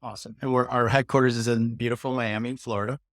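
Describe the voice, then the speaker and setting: A man interviewing a guest in an encouraging, conversational way. He speaks at a middle pitch in a flat, even tone, recorded dry and close. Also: quick pace, no room sound. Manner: encouraging, short affirmations.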